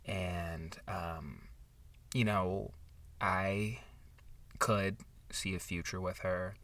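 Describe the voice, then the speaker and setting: A man's voice: deep voice, fairly even intonation, extremely slow, fairly bright tone, recorded from a distance, heavily acted. A man playing an awkward, sincere writer with a hesitant delivery, co-hosting a book podcast in an improvised audio comedy. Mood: wistful, halting. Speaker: podcast co-host.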